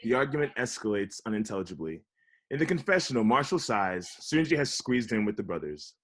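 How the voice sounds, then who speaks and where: A man's voice: on the low side, big pitch swings, a little fast, a bit bright. A man reading stage directions as scripted narration in a table read. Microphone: video call.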